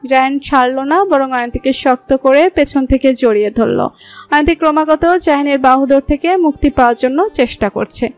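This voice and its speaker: High-voiced woman narrating a love story. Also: moderate pace. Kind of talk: scripted narration of a romantic story. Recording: soft background music.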